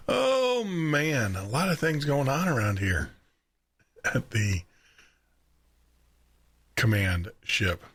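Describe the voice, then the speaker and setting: A man with a deep baritone voice hosting a live radio show and podcast from his studio, chatty, laughing often and repeating words as he talks. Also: gravelly, a bit bright. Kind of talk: casual live radio chat. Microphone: studio microphone with headphones.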